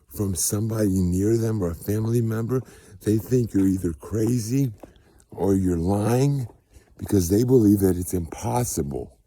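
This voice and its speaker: An American man giving a casual motivational testimony, husky and emphatic, repeating phrases in a preachy way for weight. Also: lowish voice, dark tone, a little hiss or hum. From United States. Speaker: spiritual vlogger.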